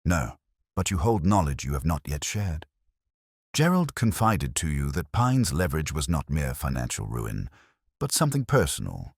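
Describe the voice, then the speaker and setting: Very deep, husky, cold genius detective, acted by a male narrator, formal, deliberate, accusing, audiobook mystery. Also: huge pitch swings, no echo, studio quality, heavily acted.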